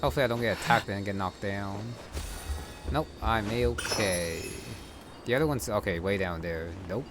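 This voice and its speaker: A man's voice: on the low side, normal speed, background noise. A man giving casual gameplay commentary, muttering and thinking aloud as he plays. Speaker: gamer. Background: game music.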